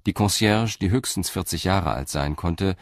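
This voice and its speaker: A man narrating a crime radio drama in an even, measured way. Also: deep voice, dry recording, studio quality. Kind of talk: scripted narration.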